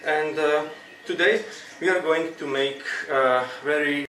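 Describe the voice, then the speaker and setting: A man with a Croatian accent giving an informal cooking demonstration, explaining things in a halting way. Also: a little high, steady tone, sharp tone. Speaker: cooking instructor. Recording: roomy, distant mic with clear background noise.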